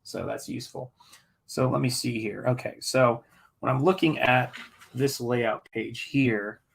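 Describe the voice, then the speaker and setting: A man's voice: mid-range voice, vocal fry. A middle-aged man from the United States chats casually while painting on a livestream, rambling in a jokey, self-deprecating way. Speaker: artist hosting a live painting stream.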